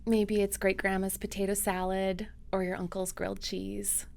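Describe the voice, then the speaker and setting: A woman's voice: mid-range voice, flat delivery, moderate pace, vocal fry, darkish tone. A woman hosting a podcast, reading a lyrical introduction and then interviewing her guest. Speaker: podcast host.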